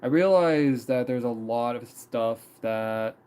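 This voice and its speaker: A man's voice: medium pitch, slow pace, mellow, clear, resonant tone. A young man in his mid-twenties, chatting casually on a live stream, rambling with many fillers and repeated phrases. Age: 20s. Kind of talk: casual personal storytelling.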